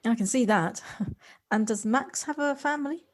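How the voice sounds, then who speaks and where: A woman's voice: a little high, measured pace, close to an everyday voice. A woman playing an eager, inquisitive newcomer in her forties in an amateur audio drama, sometimes hesitant. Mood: curious. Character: inquisitive, eager forty-five-year-old woman newcomer. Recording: noisy home recording on personal device.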